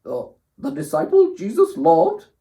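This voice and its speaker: An inquisitive female puppet co-host for a children's Bible show, acted by an adult. Her voice is very high with a mellow tone and wildly swinging intonation, slightly prim as she poses questions. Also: huge pitch swings, unhurried, vocal fry, exaggerated voice. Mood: curious. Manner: questioning, exaggerated reactions.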